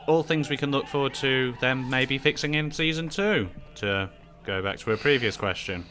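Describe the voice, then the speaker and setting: A man's voice: medium pitch, moderate pace, sharp tone, a little hiss or hum. A man hosting a question-and-answer discussion in a casual, conversational style. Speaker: host of a Q&A discussion. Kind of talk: casual chat. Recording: music playing behind the voice.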